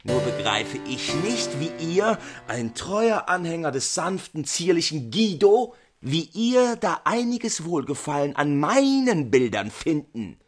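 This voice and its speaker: Man acting husky, skeptical old master painter. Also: on the high side, big pitch swings, measured pace, hardly any pauses, soft background music, pitched above the performer's own voice. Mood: teasing, skeptical. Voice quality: weak, plaintive when ailing. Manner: emphatic, teasing, old-fashioned formal address. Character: weary, skeptical older master painter, male.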